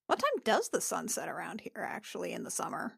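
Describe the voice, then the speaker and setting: A woman's voice: on the low side, huge pitch swings, fairly quick, gravelly, fairly bright tone, breathy edge, no echo, clean studio sound. A woman chatting and improvising as a player in a tabletop game. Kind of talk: casual chat and improvised role-play.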